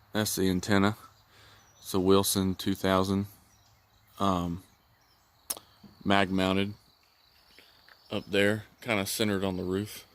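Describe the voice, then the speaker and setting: Man, lowish clear voice, folksy, casual hobbyist walkthrough, unhurried manner. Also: fairly bright tone. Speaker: hobbyist vlogger showing a truck install.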